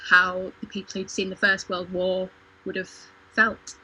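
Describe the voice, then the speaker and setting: A woman's voice: mid-range voice, monotone, unhurried, sharp tone, husky, low-quality recording. A woman talking casually and conversationally as part of a panel discussion. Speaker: theatre company performer on a panel. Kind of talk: casual chat.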